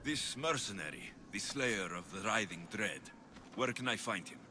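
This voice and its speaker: An actor playing a rugged, wry but caring mercenary hero in a video game, with a Greek accent and a blunt, reassuring manner. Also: male voice, lowish voice, expressive, moderate pace, lightly acted. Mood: determined. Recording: noisy ambience behind the dialogue.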